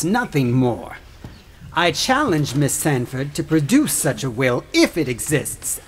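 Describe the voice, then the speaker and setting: A man's voice: mid-range voice, slight background noise, natural voice. An actor playing an indignant, scornful male government lawyer in a courtroom audio drama, with a pompous, theatrical manner.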